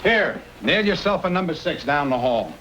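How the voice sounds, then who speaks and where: A man's voice: fairly high pitch, big pitch swings, normal speed, highs cut off. An actor playing a surly, tight-fisted male innkeeper in an old-time radio western, laconic and folksy with a dry manner. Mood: unconcerned. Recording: noisy old radio recording.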